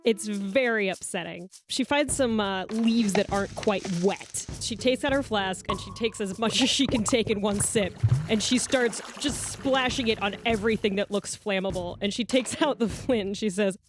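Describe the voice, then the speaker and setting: A woman playing a tabletop game, narrating her character in an animated way. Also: medium pitch, moderate pace, over music. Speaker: player.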